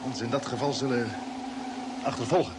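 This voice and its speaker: A man's voice: medium pitch, fairly even intonation, normal speed, close to an everyday voice. A male actor playing an anxious, questioning space fleet commander in a mid-century radio drama. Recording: hissy old radio recording.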